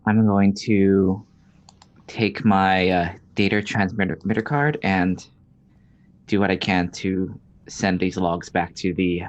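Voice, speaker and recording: A woman's voice: a bass voice, steady tone, slow, a little mellow. A woman playing a tabletop roleplaying game, talking casually in and out of character. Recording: dull lo-fi microphone.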